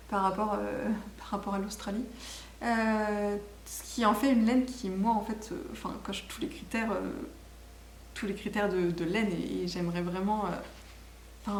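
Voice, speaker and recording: A woman chats casually and enthusiastically as host of a knitting podcast, rambling and correcting herself as she goes. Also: medium pitch. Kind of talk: casual video podcast chat. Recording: echoey room with faint background noise.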